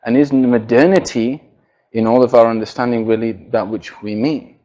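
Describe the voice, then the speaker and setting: A man with a quiet voice giving a religious lecture to an audience, hesitant, with many fillers and restarts. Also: medium pitch, steady tone, moderate pace. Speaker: speaker giving a talk. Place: university hall. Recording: dull lo-fi sound.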